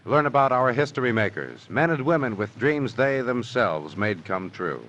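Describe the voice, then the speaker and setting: A man's voice: mid-range voice, big pitch swings, normal speed, a bit bright. An American man announcing in scripted 1950s network radio style, polished and promotional. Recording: dull, faintly noisy old radio recording.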